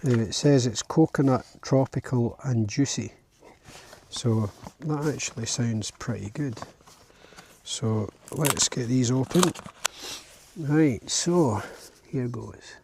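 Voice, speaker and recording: A man with a Scottish accent and a husky voice, chatting casually and informally to camera outdoors as a camping vlogger. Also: medium pitch, slow pace, background noise. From Scotland. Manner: relaxed, informal, chatting as he goes. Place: outdoors at a lochside campsite.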